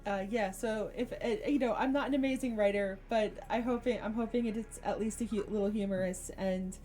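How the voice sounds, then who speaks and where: A woman's voice: medium pitch, dark tone. A woman chatting casually as a game master telling people about the shows they put on. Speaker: tabletop game master promoting own shows. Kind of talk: casual chat.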